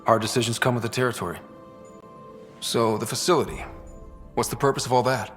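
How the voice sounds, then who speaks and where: A man's voice: medium pitch, a little fast, fairly bright tone, soft background music, lightly acted. A man voicing a young human space explorer in a video game, an earnest, decisive leader. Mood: calm, serious.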